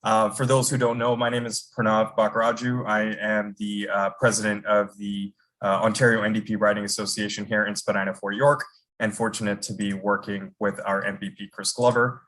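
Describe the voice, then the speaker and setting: A young man with a fairly low voice hosts an online event. He is upbeat and chatty, and his introductions are full of ums and restarts. He sounds casual and friendly. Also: flat delivery, normal speed, a bit bright, low-quality recording. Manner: upbeat, filler-heavy introductions. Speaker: riding association president hosting the event. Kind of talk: casual event hosting on a video call.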